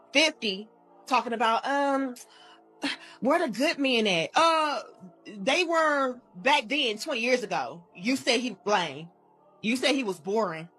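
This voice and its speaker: Woman mocking folks, higher breathy voice, faint music. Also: lively intonation, slow pace, fairly bright tone, low-quality recording. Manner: mocking, scolding.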